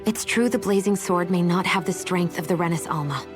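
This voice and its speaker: Actress as a resolute young heroine, soft music behind. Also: female voice, on the low side, brisk, lightly acted. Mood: solemn, resolute. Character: resolute, self-sacrificing young heroine, gently serious. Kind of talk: video game cutscene acting.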